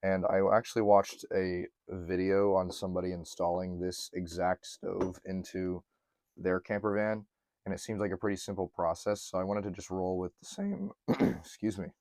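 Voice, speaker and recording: Guy for a diy van vlog, very low, a bit hoarse, dry close sound. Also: moderate pace, no echo. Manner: relaxed, chatty, many ums and throat clearing. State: slightly hoarse, losing his voice. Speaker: van life vlogger. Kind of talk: casual how-to narration to camera.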